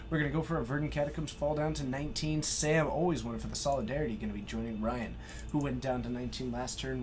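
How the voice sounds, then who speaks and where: An excitable man hosting a live stream, giving play-by-play card game commentary and effusively thanking his viewers. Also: mid-range voice. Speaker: stream host and match commentator.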